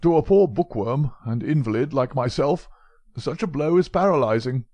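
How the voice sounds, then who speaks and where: A man's voice: mid-range voice, animated, moderate pace, dark tone, no room sound, pitched above the performer's own voice, close to an everyday voice. A man voicing a frail, fussy old male professor who speaks in a precise, mincing way. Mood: anxious, flattering.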